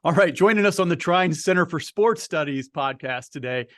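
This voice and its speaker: A man's voice: on the high side, lively intonation, moderate pace, no room sound, studio quality. An American man hosting an interview podcast in a conversational style.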